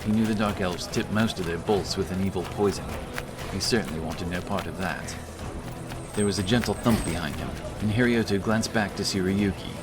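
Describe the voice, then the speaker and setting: A man narrating a fantasy story in a dramatic, scripted audiobook style. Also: deep voice. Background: action music.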